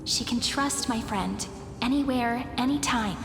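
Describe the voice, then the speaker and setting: High-voiced, breezy girlish companion. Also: unhurried, vocal fry. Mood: confident, earnest. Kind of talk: video game voice acting. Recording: echoey long reverb, music behind. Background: music.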